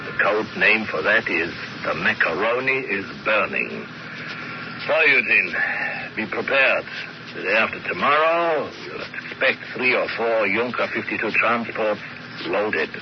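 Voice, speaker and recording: A German commando officer in an old radio play. His voice is fairly low, brisk in manner and commanding. The sound is muffled, with clear noise. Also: big pitch swings. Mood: purposeful, confiding. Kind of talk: old-time radio drama acting. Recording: muffled, noisy vintage radio recording.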